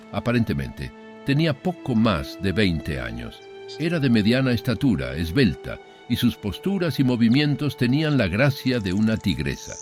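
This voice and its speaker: A man's voice: mid-range voice, darkish tone. An actor playing a bitter, brooding older father, telling a horror story in a solemn, ominous, literary manner. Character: brooding, bitter older father recounting his son's marriage. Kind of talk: dramatic horror audiobook narration.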